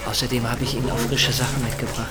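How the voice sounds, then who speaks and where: An actor playing a coldly polite, controlling masked kidnapper, terse and deliberate, in a radio drama. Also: male voice, medium pitch, flat delivery, natural voice. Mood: commanding. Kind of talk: radio play acting. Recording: loud noise behind the voice.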